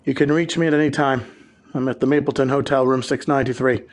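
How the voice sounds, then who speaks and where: An actor playing an earnest, well-off small-town client who speaks in a measured, storytelling way. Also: male voice, mid-range voice, steady tone, close to an everyday voice. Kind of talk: 1930s-style radio drama acting.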